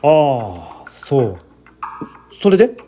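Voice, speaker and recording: A composed, shrewd male inspector from a detective radio play, performed by a man reading aloud. He probes in measured, subdued phrases, pitched under the reader's usual voice. Some noise sits behind him. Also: very animated, mellow. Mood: calm, listening. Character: calm, shrewd male police inspector questioning a suspect.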